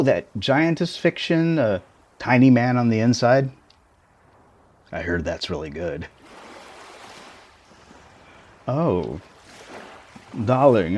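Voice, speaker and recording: A man's voice: medium pitch, a little mellow, slight background noise. A man playing a tiny shrunken boyfriend in an intimate ASMR roleplay, playful, teasing and doting, with theatrical exclamations. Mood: relaxed, affectionate.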